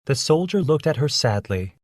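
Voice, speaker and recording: A man's voice: on the high side, big pitch swings, normal speed, darkish tone, no room sound, studio quality. A man reading a scripted children's story as its narrator.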